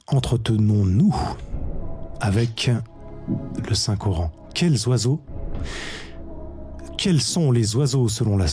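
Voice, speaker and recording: A man hosting a live-streamed quiz in a relaxed, chatty way, often correcting himself. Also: mid-range voice. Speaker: quiz show host.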